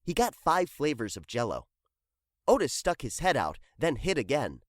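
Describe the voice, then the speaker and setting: Low-voiced, expressive woman storyteller. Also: moderate pace, dry recording, clean studio sound. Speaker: children's book reader.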